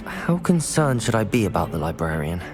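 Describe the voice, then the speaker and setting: A man's voice: sits low, sing-song, moderate pace, music in the background. A man voicing a curious, eager young student wizard in a video game, earnest and politely cautious. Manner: earnest, inquisitive.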